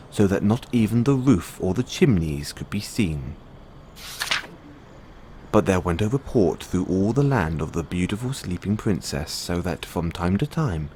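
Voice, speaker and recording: A man reading a bedtime fairy tale as a storyteller, in measured storybook narration. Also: low voice, darkish tone. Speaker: storyteller playing a boyfriend in audio roleplay. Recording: clearly audible background noise.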